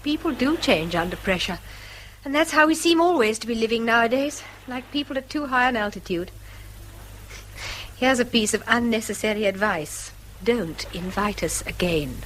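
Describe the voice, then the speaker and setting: An actress playing a weary, wistful English wife with a defiant streak, in an emphatic British radio drama style. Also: female voice, a little high, animated, moderate pace, a bit bright, slight background noise, poor audio. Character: weary wife Mary, wistful, quick to defy her husband.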